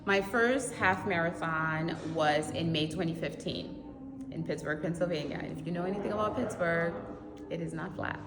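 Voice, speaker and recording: A woman from Haiti with a fairly low voice talks in an animated way about running and laughs often. The recording is echoey with a long reverb tail, and loud ambient noise sits behind her. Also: normal speed. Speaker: marathon runner, interviewee. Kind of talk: casual interview.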